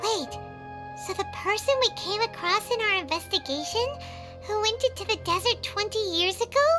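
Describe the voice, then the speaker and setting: A woman voicing a tiny, blunt, excitable childlike sidekick with a squeaky voice in a video game. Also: normal speed, music in the background. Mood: exasperated, puzzled.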